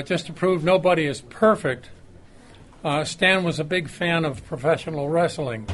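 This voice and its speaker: An older man giving an informal reminiscing speech at a club luncheon, hesitant and halting. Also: fairly high pitch, a bit bright. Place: restaurant luncheon room. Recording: faint room noise.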